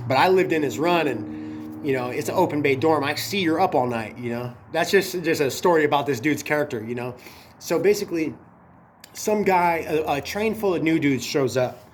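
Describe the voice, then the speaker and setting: A man's voice: fairly high pitch, normal speed, slight background noise. A man with a slight cold, sounding a bit stuffy, telling a story in a laid-back, slangy way. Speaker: host. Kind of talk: casual storytelling.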